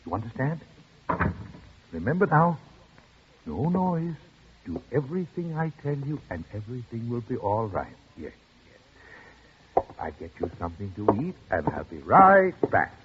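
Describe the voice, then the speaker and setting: Man acting anxious man in his sixties, radio play, animated pitch, noisy dated recording. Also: normal speed, pauses often, muffled recording, close to an everyday voice. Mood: anxious, coaxing. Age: 60s. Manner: urgent, coaxing, repetitive. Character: anxious older man secretly hiding someone in his hotel room. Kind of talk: old-time radio drama acting.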